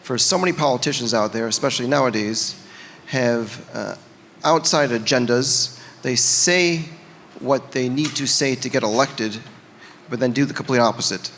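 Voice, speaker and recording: A man makes his case earnestly on a summit panel, pausing often between phrases. His pitch is middle for a man. The sound rings in a long hall reverb over a faint hum of room noise. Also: a bit bright. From United States. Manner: earnest, hesitant with many fillers. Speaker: panel guest, candidate for governor. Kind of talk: campaign-style panel talk. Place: conference hall.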